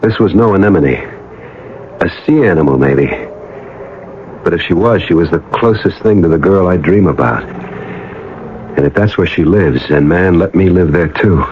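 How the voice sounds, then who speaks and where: An actor playing a young adventurous deep-sea diver in a radio drama, dreamy and entranced as he tells his story. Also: moderate pace, long pauses, a little hiss or hum, lightly acted. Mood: entranced, insistent. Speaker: actor narrating and playing the lead. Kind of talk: radio drama acting with first-person narration. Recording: dull vintage radio recording, music behind.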